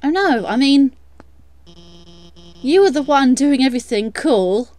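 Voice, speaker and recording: Woman as a high-voiced, stammering nerdy lizard scientist. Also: expressive, a little slow, a little mellow. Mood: nervous, encouraging. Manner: hesitant, self-doubting, earnest. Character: shy, nervous, nerdy female lizard scientist. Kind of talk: voiced game dialogue.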